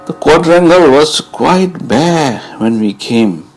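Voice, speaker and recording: Slow, slightly gravelly man reading a memoir. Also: a little high, expressive, soft background music. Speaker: narrator reading a first-person account. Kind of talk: dramatised audiobook reading of a memoir.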